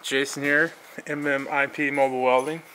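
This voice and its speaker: Casual American male vlogger, bright forward voice, mid pitch, laid-back delivery, light outdoor noise. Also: flat delivery, moderate pace, poor audio. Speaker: vlogger, mobile welder. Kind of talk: casual vlog walk-through of a truck install.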